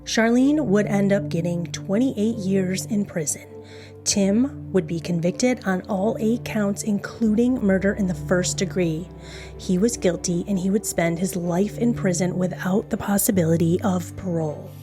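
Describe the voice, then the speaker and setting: A woman's voice: medium pitch, a little slow. A woman hosting a true crime podcast, reading scripted narration. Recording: faint music behind the voice. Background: faint music.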